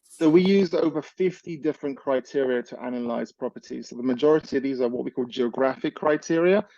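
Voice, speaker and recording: A man from Ireland giving an energetic, persuasive startup pitch over a video call, then answering questions. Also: dry recording, dull audio. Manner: energetic, persuasive, fast-talking with restarts. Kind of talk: business pitch and Q&A.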